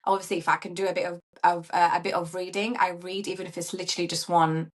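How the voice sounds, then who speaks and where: Chatty woman guest, lowish voice. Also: fast talker. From Syria. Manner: chatty, animated, lots of fillers. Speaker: guest, coach. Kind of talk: casual interview chat.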